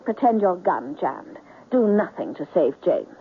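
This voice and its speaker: A woman's voice: fairly low pitch, big pitch swings, unhurried. An actress playing a genteel, scheming, seductive woman on safari in a 1970s radio drama, with dramatic, emotive delivery. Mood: coldly scheming. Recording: tinny old radio with light noise.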